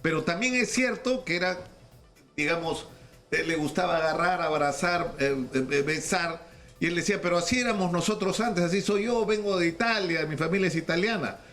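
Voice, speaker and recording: Halting Peruvian male radio interviewer. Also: sits high, fairly bright tone, soft background music. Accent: Peruvian Spanish. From Peru. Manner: halting, repeats words, argues his point.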